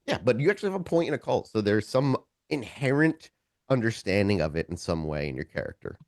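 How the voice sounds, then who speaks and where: A man's voice: fairly low pitch, sing-song, fairly quick, no echo, clean studio sound. A man narrating a tabletop role-playing game as game master, improvising scene descriptions and often correcting himself as he searches for words.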